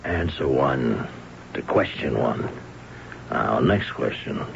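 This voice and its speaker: An actor playing a cold, menacing older bookie in a 1970s radio drama, gravelly, blunt and unhurried in his threats. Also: very deep voice, animated, heavily acted. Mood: cold, firm. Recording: dull, noisy old radio recording.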